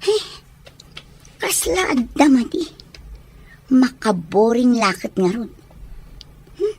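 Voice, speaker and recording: An actress playing a bored, questioning young wife kept indoors in an Ilocano radio drama. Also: female voice, a little high, big pitch swings, slow pace, a little mellow, breathy edge, exaggerated voice. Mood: bored, sulky.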